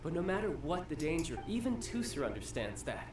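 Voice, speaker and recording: A man's voice: on the high side, sing-song, a little fast, roomy sound, recorded from a distance, music in the background. A man voicing a suave, playful and confident young fighter, a doting big brother, in a video game. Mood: assured, explaining.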